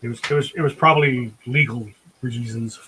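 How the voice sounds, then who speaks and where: A man's voice: mid-range voice, fairly even intonation, a little fast, sharp tone, a little hiss or hum, low-quality recording. A man casually moderating a livestream as host, in short conversational turns. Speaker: host moderating a drawing show.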